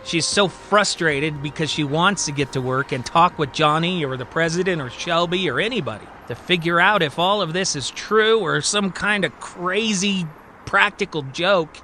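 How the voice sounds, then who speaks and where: A man's voice: fairly high pitch, normal speed, sharp tone, slight background noise. A man telling a scripted story as a podcast storyteller, with a theatrical, emphatic and suspenseful delivery. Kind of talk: dramatic scripted story narration.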